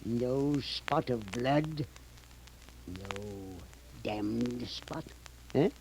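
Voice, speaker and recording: An actor playing a sly, sinister professor with a deliberate, insinuating manner in a 1930s radio horror drama. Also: male voice, mid-range voice. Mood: sly, taunting. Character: sly sinister male professor, a fake occultist. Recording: faintly noisy old radio recording.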